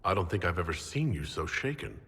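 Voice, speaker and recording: A man's voice: sits low, big pitch swings, brisk, sharp tone, husky, full character voice. A man playing a composed, skeptical commander hero in a fantasy game, dry and questioning. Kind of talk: fantasy game dialogue acting.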